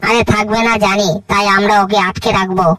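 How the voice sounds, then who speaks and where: Cartoon crocodile wife, bossy and scheming, high and flat, distant; male actor. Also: brisk, no room sound. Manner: sharp-tongued and nagging. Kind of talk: cartoon voice acting.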